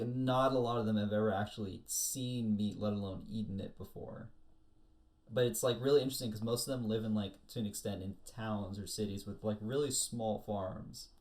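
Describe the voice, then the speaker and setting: A woman's voice: extremely low pitch, darkish tone. A woman co-hosting a podcast in a casual, conversational style. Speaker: podcast co-host. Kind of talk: casual chat.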